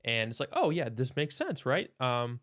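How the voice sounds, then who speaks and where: Male doctor, 30s, mid pitch, thoughtful interview guest who restarts often. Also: huge pitch swings, normal speed, a bit bright. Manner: thoughtful, many restarts and hedges. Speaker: osteopathic doctor, podcast guest. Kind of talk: casual interview talk.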